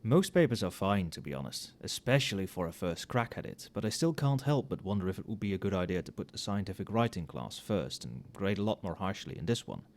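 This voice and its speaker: A man playing an overworked, tipsy magic professor in a casual ASMR roleplay, muttering softly with dry, wry asides. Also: deep voice, very animated, brisk, few pauses. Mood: musing, tipsy. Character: tipsy, overworked male magic professor grading late at night.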